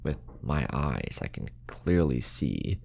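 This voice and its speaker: Man voicing very deep, soft-spoken young ninja father. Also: sing-song, takes it slowly, creaky, full character voice. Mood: grave, resolute. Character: young father, powerful ninja, tender yet resolute. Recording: music under the voice.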